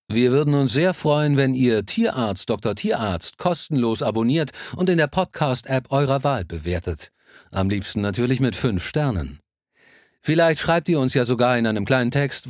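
A man's voice: mid-range voice, no room sound, studio quality. A man reading a scripted podcast announcement and credits as announcer. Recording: clear music bed behind voice.

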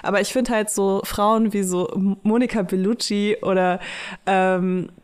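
A woman's voice: mid-range voice, steady tone, unhurried, darkish tone, smooth. A woman in her late twenties co-hosting a podcast, talking candidly in a rambling casual way with many fillers. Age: late 20s. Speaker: podcast co-host.

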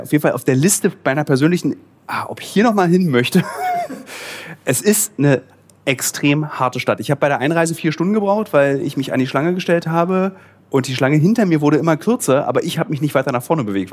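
A man's voice: mid-range voice, fairly quick. A man hosting a conversational interview, curious and informal. He mixes his own impressions with questions and keeps an easy, chatty tone.

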